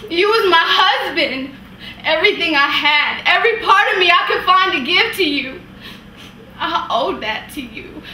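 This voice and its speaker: A woman's voice: very high-pitched, animated, bright, forceful tone, full character voice. A woman acting an anguished wife confronting her husband, in an impassioned, pleading stage monologue. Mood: anguished, crying out. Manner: impassioned, pleading, emphatic with long pauses. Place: indoor workshop room, recorded from a distance. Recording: roomy, distant mic, some hiss.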